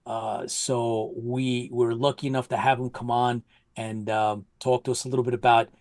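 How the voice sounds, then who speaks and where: A man's voice: medium pitch, flat delivery, normal speed, darkish tone, no echo, clean studio sound. A man hosting a casual live web show, talking in a loose, rambling way with many fillers and restarts. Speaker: show host. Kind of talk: casual livestream chat.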